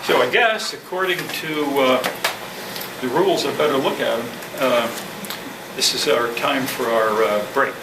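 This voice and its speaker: A man gives a formal club president's address in a measured way. His voice sits at a middle pitch and comes from well back in an echoey meeting room. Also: recorded from a distance. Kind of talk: prepared speech read at a club meeting. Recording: echoey meeting room from afar, noisy hum.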